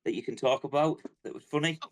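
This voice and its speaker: A man's voice: medium pitch, quick pace, slight background noise. A man co-hosting a podcast, joking around and jumping in to interrupt. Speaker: podcast co-host. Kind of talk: casual chat.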